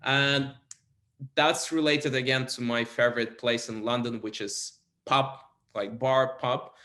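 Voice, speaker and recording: A man from Kazakhstan who speaks Russian and Kazakh natively answers casual interview questions. His phrasing is hesitant and halting, at a middle pitch, and the room puts a clear echo on his voice. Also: steady tone, sharp tone. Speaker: interview guest, film photographer. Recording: roomy echo.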